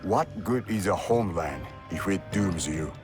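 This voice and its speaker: An actor playing a grave, fatalistic legendary shinobi warrior in a game cutscene, speaking in a measured, philosophical, ominous manner. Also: male voice, low voice, expressive. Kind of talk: dramatic game cutscene acting. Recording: music behind the voice.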